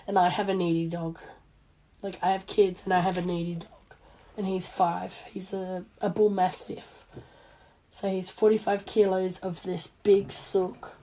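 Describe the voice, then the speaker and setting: A young Australian woman with a hoarse, failing voice chats casually and self-deprecatingly on a livestream as she works. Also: lowish voice, mellow. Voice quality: hoarse, weakened voice. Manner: rambling, self-deprecating, informal. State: losing voice after illness. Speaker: small business owner and crafter livestreaming. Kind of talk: casual chatty livestream while sewing. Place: indoor home sewing workspace. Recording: noisy room with clicking sounds.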